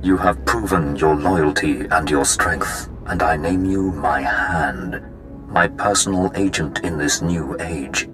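An extremely low-voiced dark lord from a sci-fi video game. He is imperious and menacing, speaking in deliberate, commanding pronouncements over clearly audible music. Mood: triumphant. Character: menacing, imperious male Sith lord seeking to rule. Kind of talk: dramatic video game voice acting.

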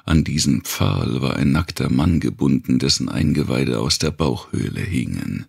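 A man narrating a dark thriller audiobook in a slow, deliberate, dramatic manner, with a gravelly, raspy voice. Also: rumbling low, animated. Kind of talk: scripted narration of a dark post-apocalyptic thriller.

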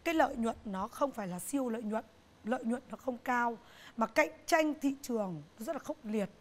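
A middle-aged woman, a business owner, answering interview questions on a TV talk show set in an earnest, halting way, often repeating herself. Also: a little high, lively intonation. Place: TV studio set, indoors.

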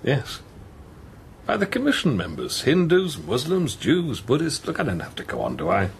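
A man's voice: medium pitch, huge pitch swings, normal speed. An actor playing an uneasy, apologetic priest in a radio drama, a hesitant middle-aged man haunted by dread. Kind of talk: radio drama acting with first-person narration. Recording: clear background ambient noise.

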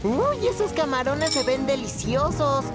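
A woman's voice: sits high, very animated, unhurried, loud music behind the voice. A woman with a Latin American Spanish accent voicing a chatty, food-loving middle-aged woman who gushes. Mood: gushing. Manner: gushing, exclamatory.

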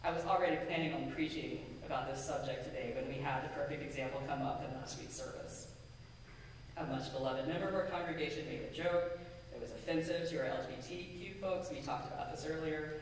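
A woman minister giving a measured, earnest sermon from a pulpit in a church sanctuary, with a pulpit microphone and a distant camera. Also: deep voice, slight background noise. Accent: American. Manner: measured, deliberate, earnest preaching with emphatic lists. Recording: echoey sanctuary from far off.

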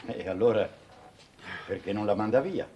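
An actor playing a brisk, blunt and insistent gentleman engineer in a 1950s Italian television period drama. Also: lowish voice, close to an everyday voice. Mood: impatient. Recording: noisy old 1950s TV soundtrack.

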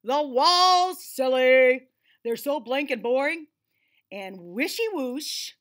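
A woman's voice: slow pace, exaggerated voice. A woman voicing a big jolly male imaginary creature from a storybook, silly and exuberant. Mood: excited, booming. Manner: booming, excitable, silly.

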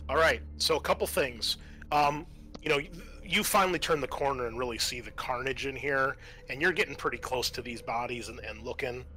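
A man narrating a tabletop roleplaying game as game master, halting and thinking aloud as he improvises. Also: medium pitch, pushed and bright, rough recording.

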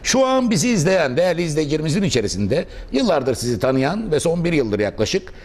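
A middle-aged man with a slightly high voice hosts a business interview in a cafe, talking very fast with a lively, swooping delivery. His speech is formal and flowery, and he often jumps in to finish his guest's sentences. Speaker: interviewer host. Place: indoor cafe restaurant.

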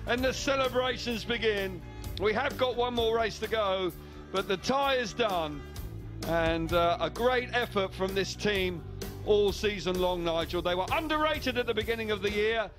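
A male co-commentator talks through the race in a measured, analytical way. His voice sits high for a man. Music plays clearly behind him. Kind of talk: sports commentary.

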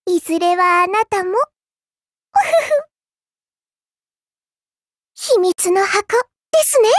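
A woman voicing a childlike, polite but quietly spiteful girl in a game, with a cutely teasing manner. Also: very high-pitched, expressive, extremely slow, no room sound, studio quality, heavily acted. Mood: playful. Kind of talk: Japanese game character voice lines.

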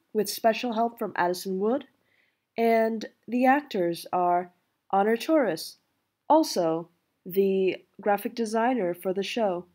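A young woman hosts with a casual announcing style. Her voice is mellow and mid-pitched, and she speaks at a slow pace. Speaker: show curator and host.

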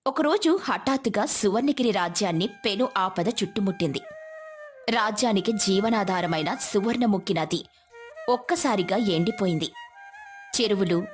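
Lady reading a fairy tale, smooth and dramatic, soft music under. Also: mid-range voice, moderate pace. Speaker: narrator. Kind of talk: scripted storybook narration. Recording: faint music behind.

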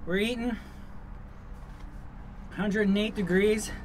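A middle-aged man with a notably high-pitched voice talks casually to his viewers. His delivery is informal and easygoing, wandering along like someone telling a story. He is recorded inside a truck camper, with some ambient vehicle noise underneath. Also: steady tone, slow. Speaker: vlog host. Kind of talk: casual chat to camera.

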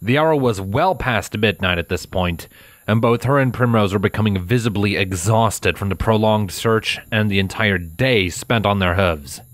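A man's voice: on the low side, normal speed, dry recording. A man narrating a scripted story in a dramatic audiobook style.

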